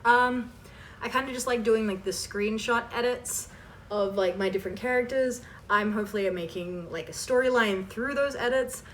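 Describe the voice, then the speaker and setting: A woman's voice: a little high, normal speed, a bit bright. A woman chatting casually as she answers questions, rambling and self-deprecating with trailing asides. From Canada. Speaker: host of a fan voice-acting channel. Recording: distant mic with faint background noise.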